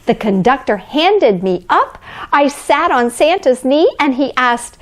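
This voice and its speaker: Woman playing Santa's kindly wife, storybook reading for children, gentle, expressive, very unhurried pace, lightly raised pitch. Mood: gentle storytelling. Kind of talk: children's storybook reading, addressing young listeners.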